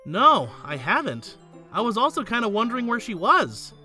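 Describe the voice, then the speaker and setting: Woman as bright, animated student narrator. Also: a little high, normal speed, soft background music. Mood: earnest, reassuring. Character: earnest, kindly high school student narrator. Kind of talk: first-person scripted narration, fan dub acting.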